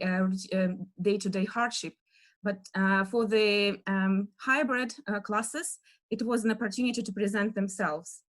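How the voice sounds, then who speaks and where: Russian-accented woman in her thirties, video-call lecturer, middle pitch, halting delivery with many fillers. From Russia (Western Siberia). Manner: many fillers, soft laughs, searching for words. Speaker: dance historian giving an online lecture. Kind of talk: hesitant online lecture.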